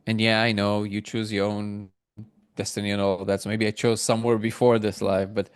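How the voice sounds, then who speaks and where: A middle-aged man from Russia who later moved to Israel, answering reflectively as an interview guest, haltingly restarting phrases as he thinks aloud. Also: fairly low pitch, level tone, clean tone, no room sound, studio quality. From born in Moscow, Russia, moved to Israel.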